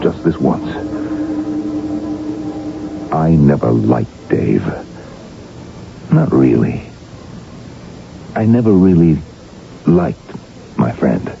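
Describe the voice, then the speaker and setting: An actor in an early 1980s radio drama, playing a tired, troubled doctor. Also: male voice, a bass voice, big pitch swings, very slow, creaky, pauses often, heavily acted. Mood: brooding confession. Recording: muffled old radio broadcast, faint music.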